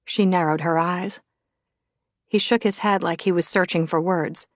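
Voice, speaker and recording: A woman narrates fiction as an audiobook reader. Her voice sits fairly low, and the sound is dry, with very little room on it. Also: steady tone, measured pace, dry recording.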